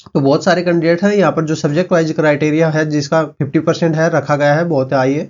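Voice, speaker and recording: Fast-talking man explaining exam cutoffs. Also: fairly high pitch, fairly even intonation, darkish tone, dull audio. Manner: rapid, repetitive filler-heavy delivery. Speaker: exam coaching presenter. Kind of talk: explainer lesson.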